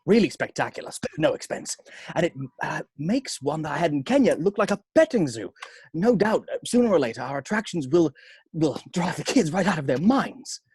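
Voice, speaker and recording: A man's voice: fairly high pitch, sing-song, a little fast, a little mellow, husky, no room sound, heavily acted. A man playing a charming, brash seventy-year-old tycoon in a script table read, with a jovial, showy delivery. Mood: boastful, confiding.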